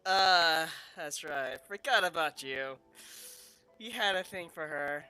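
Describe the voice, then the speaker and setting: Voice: mid-range voice, animated, slow pace, bright, forceful tone, clear, resonant tone, low-quality recording. A gamer giving casual commentary over a story quest playthrough. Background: game music.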